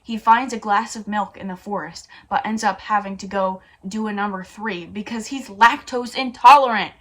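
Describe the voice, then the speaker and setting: A woman's voice: mid-range voice, steady tone, moderate pace, fairly bright tone. A woman hosting a comedic read-aloud, playful and mock-dramatic, narrating a story and dropping in her own asides. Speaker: YouTube host.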